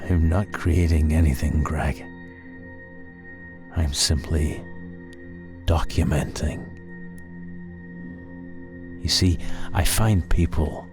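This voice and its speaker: A man voicing a coldly intense, menacing male killer in a horror audio story, speaking deliberately with ominous pauses. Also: rumbling low, heavily acted. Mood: quietly sinister. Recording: loud music under the voice. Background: music.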